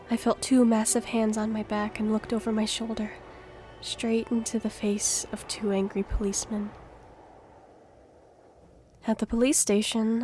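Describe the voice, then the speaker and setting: A woman playing a young gifted fugitive who narrates her own story in a measured, earnest audio drama style. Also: medium pitch, mellow, lightly acted. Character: young gifted female fugitive, wistful and plain-spoken. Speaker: narrator and lead. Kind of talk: scripted first-person audio drama narration.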